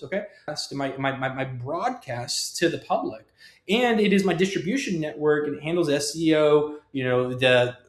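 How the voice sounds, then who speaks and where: Emphatic man, higher-pitched voice in echoey room. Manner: emphatic, rambling, punctuates with "okay?" and "right?". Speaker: software developer presenting own project. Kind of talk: informal screen-share tech talk.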